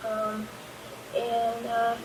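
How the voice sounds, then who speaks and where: A woman's voice: fairly high pitch, completely flat delivery, extremely slow, far from the mic, a little hiss or hum, poor audio. A woman in her twenties introducing herself shyly and hesitantly as a podcast guest. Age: 20s.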